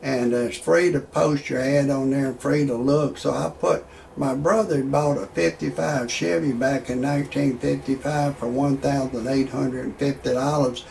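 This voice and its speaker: Rambling sixties man, gravelly voice. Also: mid-range voice, steady tone, darkish tone, slight background noise, rough recording. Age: 60s. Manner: rambling, halting, with frequent "uh" fillers and restarts. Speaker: amateur online show host. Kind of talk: rambling monologue with stand-up jokes.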